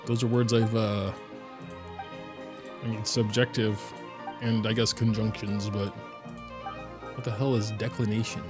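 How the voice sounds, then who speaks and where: A man's voice: on the low side, flat delivery, a little slow, pauses often, music in the background. A man from Germany with a German accent, a native German speaker, rambling and thinking aloud in casual live-stream commentary while he plays. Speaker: game streamer.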